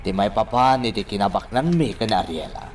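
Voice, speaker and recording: A man's voice: fairly low pitch, expressive, brisk, natural voice. A man acting as a desperate, scheming character in an Ilocano radio drama, insistent and persuasive with an Ilocano accent. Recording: faint background noise.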